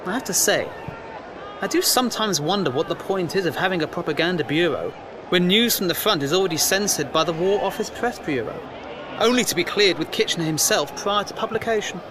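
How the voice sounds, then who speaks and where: A man's voice: high-pitched, animated, a little fast, background noise. An actor playing an earnest, questioning First World War newspaperman, well-spoken, formal and wry, in an audio drama. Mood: earnest, probing. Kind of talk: period audio drama acting.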